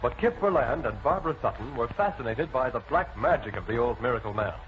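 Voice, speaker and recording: A man's voice: mid-range voice, lively intonation, fairly quick, slight background noise, lightly acted. Old-time radio serial narrator voiced by a man, muffled. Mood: urgent storytelling. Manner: formal, dramatic storytelling. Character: male announcer narrating a crime serial. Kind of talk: 1940s radio scripted narration.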